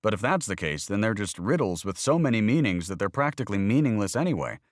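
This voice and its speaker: A man's voice: on the low side, sing-song, fast talker, no room sound, studio quality, pitched above the performer's own voice. A man reading an audiobook, voicing a skeptical, argumentative young boy. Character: questioning earnest young boy. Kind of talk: audiobook character voice.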